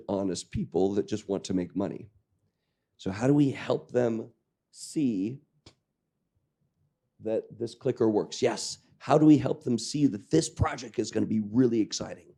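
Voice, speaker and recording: A man with a croaky voice giving a lecture to a training class, joking and questioning the audience while clearing his throat. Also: on the low side, warm tone. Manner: jokey, engaging the audience with questions. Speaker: lecturer on public-private partnerships. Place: lecture room with live audience.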